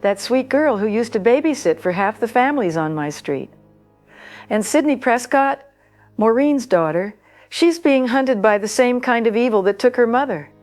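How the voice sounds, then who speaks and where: An actress playing a worried older woman who calls a radio show, speaking earnestly and gravely. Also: a little high, expressive, normal speed, darkish tone, faint music behind, close to an everyday voice. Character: worried older woman radio caller, long-time local. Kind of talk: audio drama acting.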